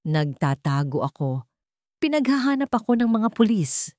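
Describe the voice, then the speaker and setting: A woman's voice: mid-range voice, very animated, normal speed, a little mellow, no room sound, pitched above the performer's own voice, close to an everyday voice. A woman plays a threatening male crook on the run in a dramatic audio story. He is mocking and menacing, recorded dry. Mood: hushed, anxious. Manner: taunting, threatening.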